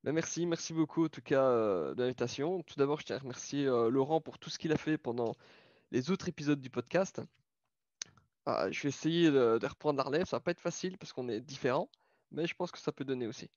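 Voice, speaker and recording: A man's voice: medium pitch, a little fast, a little mellow, no room sound. A man with a light Belgian accent speaks in a mid-range voice as a podcast co-host. His delivery is casual and a little hesitant. The sound is dry and somewhat dull. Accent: light Belgian French. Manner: hesitant, informal. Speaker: podcast co-host discussing stocks. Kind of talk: casual chat. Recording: dull, band-limited audio.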